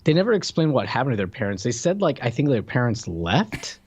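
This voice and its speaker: A man's voice: medium pitch, very animated, fairly quick, a little mellow, breathy edge. Guy co-hosting a movie podcast, voice jumps up and down, jokey, casual. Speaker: podcast co-host. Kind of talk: casual movie review chat.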